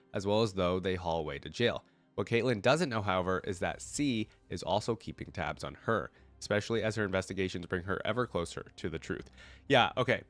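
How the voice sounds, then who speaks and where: A man with a fairly low voice, stuffed up from a cold, reads lore aloud and comments on it informally. He thinks out loud and talks straight to his viewers. The recording is dry, with hardly any room sound. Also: no room sound. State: congested with a cold. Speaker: reactor reading game lore aloud and commenting. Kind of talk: casual reaction commentary mixed with story reading.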